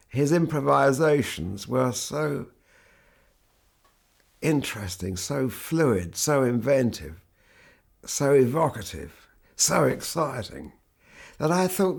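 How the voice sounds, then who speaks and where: An older man, a guitarist, telling anecdotes from his life in a relaxed, wry, conversational way. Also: mid-range voice, gravelly. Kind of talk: reflective anecdotal storytelling.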